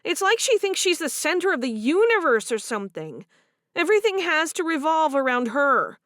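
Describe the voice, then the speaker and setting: A woman's voice: high voice, sing-song, normal speed, dry recording, studio quality, voice pushed up. A woman doing a tearful, fretful young woman. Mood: upset. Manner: soft, pleading, tearful.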